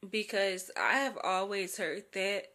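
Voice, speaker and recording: Bright-voiced woman with a cold. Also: mid-range voice, unhurried. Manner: chatty, frank, uses slang and light swearing. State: sick with a cold, voice strained. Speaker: podcast host. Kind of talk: casual solo podcast monologue.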